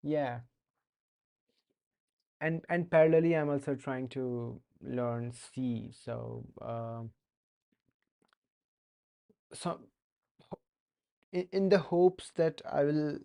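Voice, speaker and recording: A man's voice: medium pitch, drawn-out words, gravelly, pauses often, dark tone. A young man with an Indian accent chatting casually while coding on a live stream, hesitant with many fillers and restarts. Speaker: streamer learning to code.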